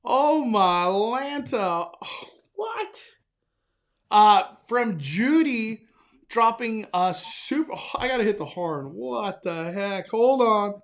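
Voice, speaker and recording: A young man hosting a casual live stream, excitable and enthusiastic, often stumbling and restarting his sentences. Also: high voice, takes it slowly. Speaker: livestream host.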